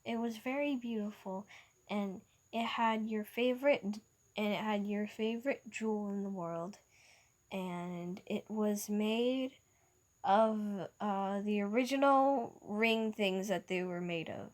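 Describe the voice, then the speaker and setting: Slow, halting woman telling a fan story. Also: mid-range voice, a little mellow. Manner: halting, with stumbles and restarts. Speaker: storyteller and video maker. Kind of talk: reading an interactive fan story aloud.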